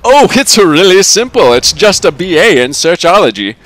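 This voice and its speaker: A man's voice: sits high, very animated, normal speed, fairly bright tone. A man voicing an officious AI clerk in a short story, formal, matter-of-fact and coolly sardonic. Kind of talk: short story character read aloud.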